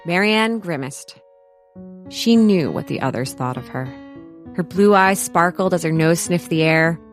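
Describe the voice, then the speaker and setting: A woman's voice: fairly low pitch, measured pace, soft background music. A woman narrating a comedic audio drama from a script, in a mock-dramatic storytelling manner.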